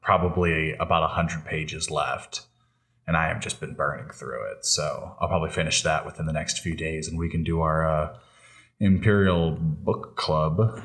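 A man with a low-pitched voice chats casually on a fan podcast. He speaks as a co-host in an informal, conversational style. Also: fairly even intonation, moderate pace, fairly bright tone.

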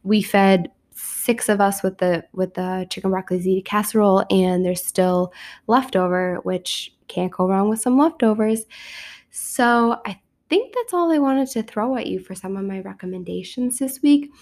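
A woman host with a raspy, sick voice, chatting casually and upbeat on her podcast, with lots of fillers and restarts. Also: medium pitch, normal speed, a little mellow. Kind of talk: casual chat.

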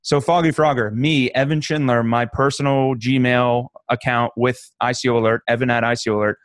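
Male co-host with a Pittsburgh accent, mid-pitched, loose and profane, dry close mic. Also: fairly quick, no echo. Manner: profane, joking and animated. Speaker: podcast co-host. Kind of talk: casual podcast banter.

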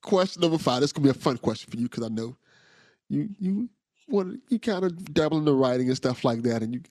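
A man's voice: fairly high pitch, lively intonation, fast, mellow, dry recording, studio quality. A man hosting a casual podcast interview, enthusiastic and prone to stuttered restarts. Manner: enthusiastic, repeats words as he starts sentences. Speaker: podcast host.

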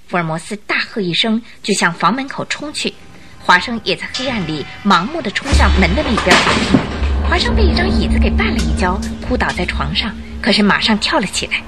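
A woman tells a detective story for a radio drama over clearly heard music. Her medium-pitched voice moves widely up and down, with expressive, suspenseful phrasing. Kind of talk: dramatic scripted storytelling narration. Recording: music under the voice.

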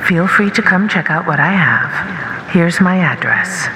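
An actor as a mysterious male seller in a radio drama, reading a scripted message. The voice is bright and fairly high, drenched in long reverb. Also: expressive, unhurried, full character voice. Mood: inviting, eerie. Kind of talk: scripted radio play voice-over. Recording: cavernous reverb, faint rain noise.

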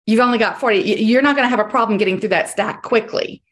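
A woman's voice: fairly high pitch, fast talker, a bit bright, slight background noise. A woman coaching salespeople on a group call, direct and emphatic, repeating her key points, and losing her voice.

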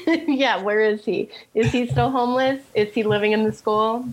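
A woman's voice: a little high, steady tone, normal speed, low-quality recording. A woman co-hosting a podcast, chatting casually as she recaps a TV show. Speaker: podcast co-host.

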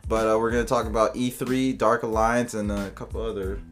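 Laid-back, swearing podcast host. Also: male voice, fairly low pitch, little pitch movement, brisk, faint music behind. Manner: laid-back, profane, acts out anecdotes. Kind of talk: casual chat.

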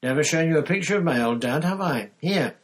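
A man reading aloud and voicing a huge, bearded half-giant who speaks hoarsely and croakily, close to tears. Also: on the high side, animated, a little fast, voice pushed up. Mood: choked up, tearful.

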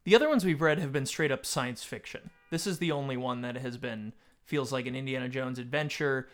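A man's voice: mid-range voice, fairly quick. A man co-hosting a casual book discussion, joking and playfully acting out quotes. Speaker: podcast co-host.

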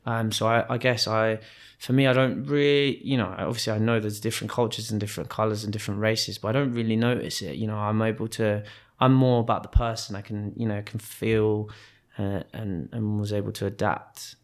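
A man's voice: on the low side, flat delivery, brisk, few pauses. A man born in Kenya, a podcast guest, telling his life story in a hesitant, reflective way with many restarts and fillers.

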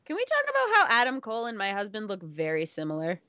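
A woman's voice: medium pitch, wildly swooping pitch, quick pace, pushed and bright, no room sound, dull audio. Wry woman co-host, dry sound. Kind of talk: casual chat.

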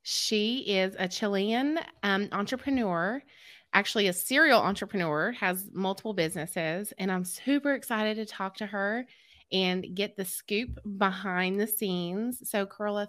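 A woman's voice: medium pitch, fairly bright tone. A woman hosting an interview, upbeat and eager in how she asks her questions. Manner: eager, upbeat, quick reactions. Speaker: interview host. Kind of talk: casual interview.

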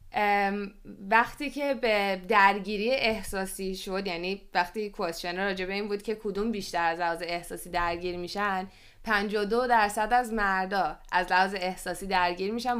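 Clear-voiced Iranian woman co-hosting a podcast. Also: medium pitch, fairly even intonation, a bit bright. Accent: Iranian Persian with English loanwords. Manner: explanatory, poses questions to co-host. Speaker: podcast co-host. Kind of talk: conversational podcast discussion, presenting survey figures.

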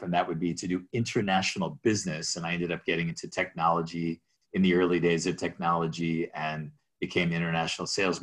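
Very deep-voiced man telling stories. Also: a bit bright. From Minnesota, USA. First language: Greek. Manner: rambling, restarts, chuckles while telling stories. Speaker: guest. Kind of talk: casual interview chat.

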